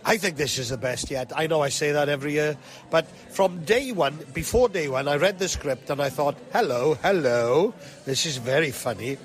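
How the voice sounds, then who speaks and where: A man's voice: a little high, normal speed. A man chatting casually as a performer in an interview. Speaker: pantomime star, interview guest.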